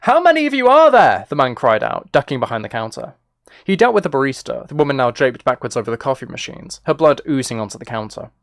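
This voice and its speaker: A man's voice: medium pitch, fast, creaky, no echo, clean studio sound. A man giving a dramatic scripted audiobook-style narration as an author reading a story. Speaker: author and streamer reading own book.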